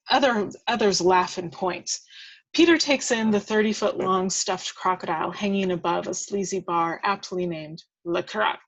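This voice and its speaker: A woman's voice: medium pitch, fairly bright tone, rough recording. A woman reading scripted action descriptions aloud at a table read, now and then stumbling and correcting herself.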